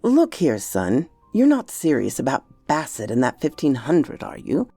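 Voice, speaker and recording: A woman's voice: rumbling low, huge pitch swings, measured pace, warm tone, slightly rough, no echo, soft background music, pitched below the performer's own voice, heavily acted. A woman voicing a jovial, indulgent grown uncle with a teasing, hearty manner in a storybook reading. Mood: probing.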